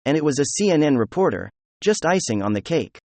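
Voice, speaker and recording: A man's voice: fairly high pitch, big pitch swings, a little fast, a little mellow, no echo. A man delivering opinionated news commentary in a sarcastic, mocking manner. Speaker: political commentator.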